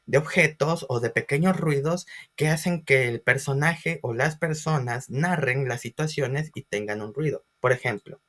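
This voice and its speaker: A man presenting an informal online class as a teacher, expressive and speaking directly to his listeners. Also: medium pitch, moderate pace, few pauses. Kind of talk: informal educational lesson for video.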